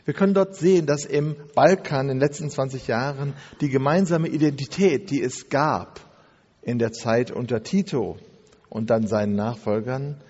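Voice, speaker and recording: A man lecturing in a measured, methodical way, building his points through piled-up phrases. His voice is dark and a little husky, and it sounds dull as it rings through a hall with long reverb. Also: mid-range voice. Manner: measured, listing phrases one after another with occasional fillers. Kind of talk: spoken lecture.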